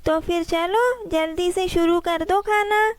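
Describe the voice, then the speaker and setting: A woman's voice: very high voice, slow, no room sound, pitched above the performer's own voice. A woman voicing a cheerful, eager young male rabbit in a children's cartoon story. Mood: eager, hospitable.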